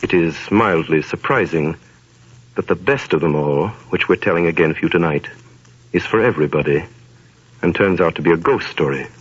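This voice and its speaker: A man narrating a radio play in a grand, theatrical manner. His voice is low and measured. The sound is dull 1930s broadcast audio. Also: slightly rough. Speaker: narrator and host.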